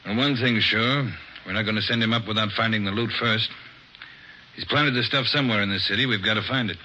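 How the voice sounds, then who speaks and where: Brisk police boss, lowish and very bright voice, dull old radio recording. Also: male voice, a little hiss or hum. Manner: brisk, questioning. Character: firm male police chief. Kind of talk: 1940s-50s radio drama acting.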